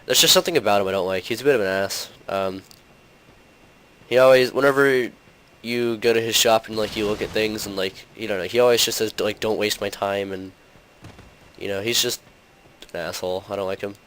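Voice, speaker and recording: Young male gaming host, lower pitch, slightly hoarse with a cold, rambling casual commentary. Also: fairly even intonation, fairly quick, fairly bright tone, slight background noise, poor audio. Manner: rambling, heavy on fillers like "like" and "um".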